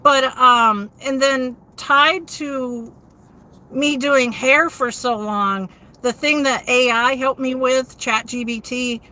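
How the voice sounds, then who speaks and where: A woman hosts a relaxed livestream, chatting with viewers and answering their comments. Her voice sits a little high and sounds bright and forward. She keeps a steady, fairly flat delivery dotted with ums. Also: low-quality recording. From New England. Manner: steady, low-inflection delivery with frequent ums. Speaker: livestream host. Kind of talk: casual livestream chat, reading viewer comments.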